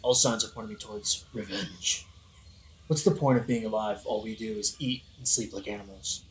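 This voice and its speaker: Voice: medium pitch, moderate pace, close to an everyday voice. An actor playing a flippant, sardonic, brooding young prince in a satirical modern-language audio play. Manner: flippant, mocking, theatrical. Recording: roomy echo, faint music behind.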